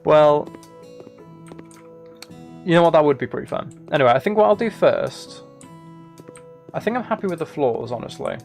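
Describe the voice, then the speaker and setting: A man's voice: a little high, expressive, moderate pace, vocal fry, pauses often, a little mellow, faint music behind. A man live-streaming video games, chatting casually to his viewers and thinking aloud as he plays.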